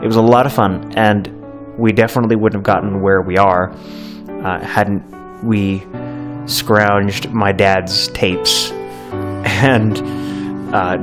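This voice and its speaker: A man in his late twenties with a low voice talks over clear guitar music. He is reflective and earnest, reminiscing in a documentary interview style. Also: fairly even intonation, slow. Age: late 20s. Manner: nostalgic, earnest. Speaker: audio drama creator. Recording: music playing behind.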